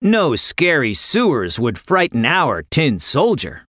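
Expressive woman storyteller, animated pitch. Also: slow pace, no room sound, clean studio sound. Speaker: storyteller, podcast narrator. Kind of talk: scripted children's story narration.

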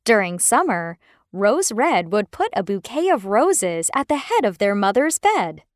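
A woman narrating a scripted children's fairy tale in an expressive, careful way. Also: mid-range voice, a little slow, no echo, clean studio sound. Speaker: storyteller.

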